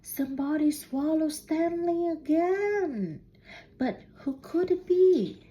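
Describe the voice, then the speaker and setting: Very slow-reading woman, storybook read-aloud. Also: high-pitched, lively intonation, mellow. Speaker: student reading a picture book aloud. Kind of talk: storybook read-aloud with questions to young listeners.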